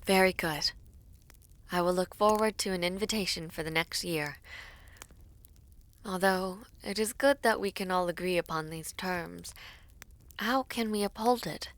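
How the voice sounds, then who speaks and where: A woman playing a composed young princess in a fantasy audio roleplay, speaking in formal, deliberate, stately phrases. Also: fairly low pitch. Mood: diplomatic, probing. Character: composed young princess negotiating a peace treaty, regal and measured.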